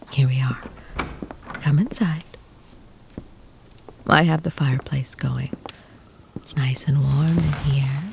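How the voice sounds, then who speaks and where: An actress plays a protective vampire woman in an intimate ASMR roleplay. Her voice is soft and often whispered, with a gravelly fry underneath. She speaks very slowly and formally, like one used to command, over a faint bed of noise. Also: deep voice. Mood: reassuring, confiding. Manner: slow, soothing, doting, formal wording.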